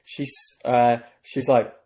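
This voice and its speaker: A man talks as an interview guest, in a warm, mellow tone of middle pitch. He tells his story in a measured way and pokes gentle fun at himself. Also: flat delivery. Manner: measured, storytelling, light self-deprecating humor. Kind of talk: conversational interview.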